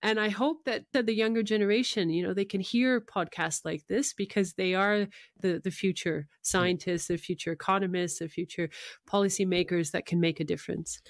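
A woman's voice: medium pitch, normal speed, no echo, clean studio sound. A woman hosting a radio interview show, speaking to guest and listeners. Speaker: radio host.

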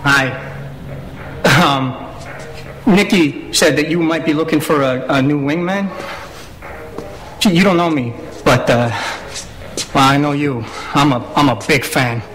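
An actor playing an eager, nervous young street-racing fan in a video game, hesitant and earnest. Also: male voice, on the high side, takes it slowly, long pauses, a bit bright, low-quality recording. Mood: nervous admiration. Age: young adult. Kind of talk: video game cutscene acting.

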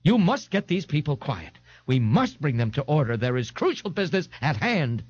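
A man's voice: fairly high pitch, big pitch swings, normal speed, husky, dull audio, pitched above the performer's own voice. Man voicing a pompous, blustering fat man. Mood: grandly irritated. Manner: grandiloquent, grave, formal.

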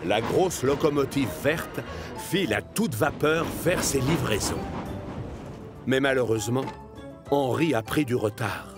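A man's voice: mid-range voice, animated, measured pace. Male storyteller over music. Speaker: narrator. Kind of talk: scripted children's story narration.

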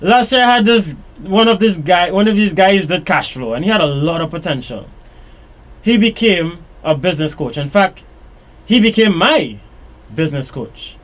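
A Trinidadian man hosting a live radio interview from a small studio, talking upbeat in quick bursts into a boom mic. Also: animated, fairly bright tone, poor audio. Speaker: radio show host. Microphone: studio boom mic with headphones.

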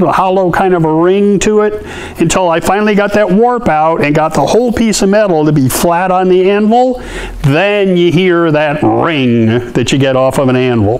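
A man's voice: high-pitched, rough recording. A man in his fifties giving a casual hands-on workshop tutorial in a folksy, conversational way. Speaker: artist and welding tips host. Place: indoor cluttered metal workshop.

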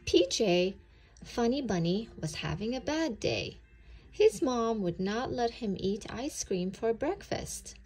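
A woman reading a children's story aloud in an expressive, deliberate way. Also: on the high side.

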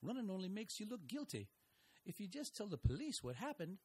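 A man's voice: sits high, big pitch swings, a little fast, darkish tone, breathy edge, no echo, full character voice. An actor playing a folksy, wry older male investigator who narrates his story. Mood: earnest. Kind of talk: audio drama narration and acting.

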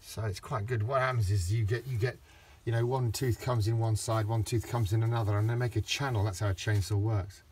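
A middle-aged man with a low voice, rambling through a casual hands-on garden demonstration with lots of restarts. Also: steady tone, brisk, hardly any pauses, a little mellow, breathy edge. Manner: rambling, with many restarts and fillers. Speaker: DIY vlogger. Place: outdoors in a garden.

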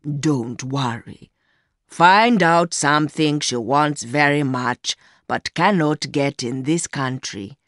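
A woman voicing an older rich man, a smooth, condescending and fatherly secret lover in an audiobook story. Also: low voice, slow, no room sound, clean studio sound, pitched below the performer's own voice. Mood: smooth, probing. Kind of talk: acted dialogue in audiobook story.

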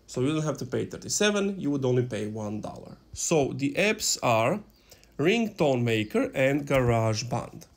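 A man's voice: mid-range voice, sing-song, moderate pace. A man in his twenties hosting a casual step-by-step tech tutorial in an informal, instructional way. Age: 20s. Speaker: tutorial host. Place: small room indoors.

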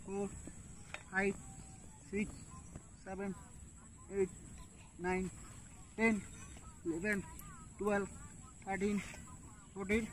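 A young man in his twenties demonstrating a home workout in a field, counting reps aloud while he lifts, with many fillers. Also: high voice. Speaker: fitness instructor. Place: open farm field outdoors. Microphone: camera at a distance. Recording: distant outdoor camera audio, faint noise.

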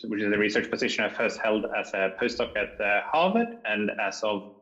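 A man from Sweden, a guest answering interview questions in a measured way, pausing often with fillers. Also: lowish voice, lively intonation, a bit bright, lo-fi sound. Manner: measured, with frequent pauses and fillers.

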